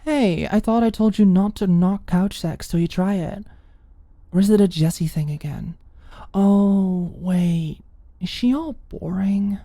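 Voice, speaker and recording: A woman's voice: mid-range voice, a little slow, mellow, dry recording, voice pushed up. A woman voicing a cocky, flippant young woman with a mocking, teasing streak in an audiobook. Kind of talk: audiobook character dialogue.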